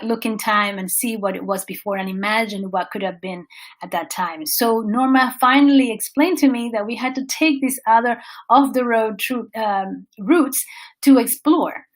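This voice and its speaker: Woman from venezuela, casual livestream storytelling, fairly high voice, animated. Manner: animated, restarts phrases, briefly sings place names. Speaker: vlogger and coach.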